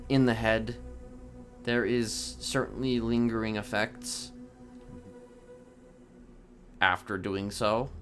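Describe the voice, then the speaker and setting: A man playing a casually sadistic criminal in an online roleplay, talking in a halting, rambling, matter-of-fact way with casual swearing. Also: medium pitch, fairly bright tone. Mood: casual, unrepentant. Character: rambling, casually sadistic male criminal confessing his violent deeds. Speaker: streamer role-playing a character. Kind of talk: improvised in-character roleplay dialogue. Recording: clear background noise.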